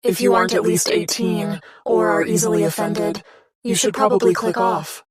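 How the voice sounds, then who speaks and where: A woman with a slightly low voice delivers a scripted content warning in a dry, mock-stern way. Loud crowd noise runs behind her the whole time. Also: normal speed.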